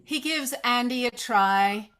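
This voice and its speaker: A woman's voice: fairly high pitch, slow pace, fairly bright tone. A woman reading stage directions aloud as scripted narration at a screenplay table read.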